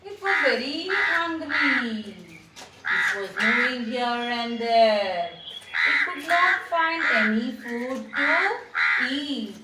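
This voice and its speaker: Lady telling kids a puppet story, highish voice, super expressive, echoey room. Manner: deliberate, expressive, repeats phrases. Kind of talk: storytelling for children. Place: indoor room with small puppet stage. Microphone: camera mic at a distance. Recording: roomy echo, distant mic, faint noise.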